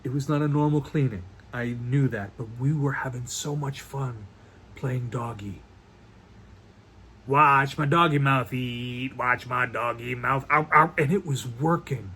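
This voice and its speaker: A man's voice: mid-range voice, pushed and bright. Middle-aged dad played by a male actor, mid-range voice, devoted and rambling, stumbling earnestly through a confessional monologue on a slightly noisy video call. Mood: animated, fond. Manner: halting, earnest, rambling, with nervous stammers. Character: doting, rambling middle-aged father confessing to his daughter. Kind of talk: one-act play monologue performed on video call.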